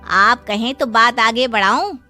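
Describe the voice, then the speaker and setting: A woman's voice: a little high, a little slow, sharp tone, soft background music. A woman putting on a nosy neighbour aunt. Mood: prying. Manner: prying, coaxing. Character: nosy middle-aged neighbour aunt, meddling.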